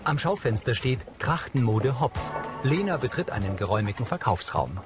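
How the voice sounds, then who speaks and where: A man's voice: medium pitch, sing-song, moderate pace. A man reading scripted narration as the audio describer of a TV episode. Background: soundtrack music.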